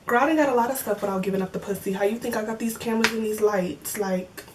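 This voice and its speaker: A woman's voice: mid-range voice, quick pace, recorded from a distance, heavy background noise. A woman of twenty in casual chat, answering viewer comments in a joking, slangy way and laughing often. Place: home filming setup with cameras and lights.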